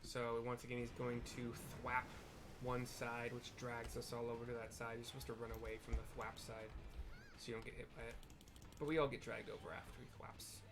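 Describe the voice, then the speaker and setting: A man's voice: medium pitch, monotone, a little fast, over music. A man narrating his gameplay in casual commentary, chatty and descriptive with playful asides. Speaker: gaming video narrator.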